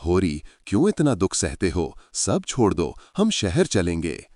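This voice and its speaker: A man's voice: sits low, wildly swooping pitch, a little slow, darkish tone, no echo, studio quality, heavily acted. A man voicing a poor village wife, worried and loving. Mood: pleading.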